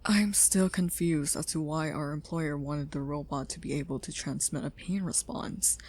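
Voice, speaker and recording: An earnest, inquisitive female scientist, acted by a woman for an audio drama. Her voice sits fairly low for a woman and has a husky, breathy grain. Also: moderate pace, a little mellow. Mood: puzzled, uneasy. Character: earnest, curious female scientist who built a robot. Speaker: voice actor in an audio roleplay. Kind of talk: scripted audio drama acting.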